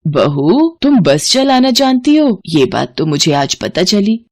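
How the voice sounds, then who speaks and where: A woman's voice: medium pitch, big pitch swings, slow, darkish tone, heavily acted. A woman voicing an elderly Indian mother-in-law in a family storybook tale, firm and plain-spoken, stern but protective. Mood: surprised, supportive.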